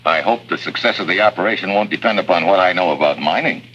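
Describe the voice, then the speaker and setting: An actor playing a tough, terse American secret agent, clipped and deadpan, in an early 1950s spy drama. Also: male voice, deep voice, quick pace. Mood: dry. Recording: dull, noisy vintage 1950s soundtrack.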